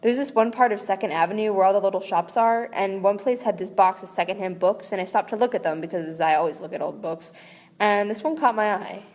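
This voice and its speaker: A woman's voice: medium pitch, fairly quick, a little mellow, big-room sound, close to an everyday voice. A woman voicing a curious, earnest young boy wizard while reading a book aloud, in nearly her own plain voice. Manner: plain reading voice, barely changed.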